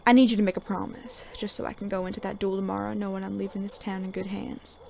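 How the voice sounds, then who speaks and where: A woman's voice: medium pitch, little pitch movement, quick pace, vocal fry, darkish tone, slight background noise, close to an everyday voice. A woman playing a weary, sardonic veteran male sheriff in a Western audio drama, with an American accent and a dry folksy drawl. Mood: earnest, firm.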